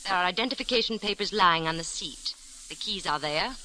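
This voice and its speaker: Sharp, commanding spymistress. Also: female voice, fairly low pitch, expressive, fairly quick, rough recording. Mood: cool, commanding. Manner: precise, formal, imperious. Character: cool, commanding, threatening female spymaster. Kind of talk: 1940s radio detective drama acting. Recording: old radio recording with faint hiss.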